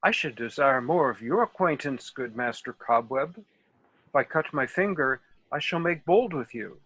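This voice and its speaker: A man's voice: medium pitch, lively intonation, a little slow, a bit bright, breathy edge, no room sound. A man playing a foolish, self-assured but courteous rustic workman in a comic Shakespeare table read, with theatrical delivery over a video call.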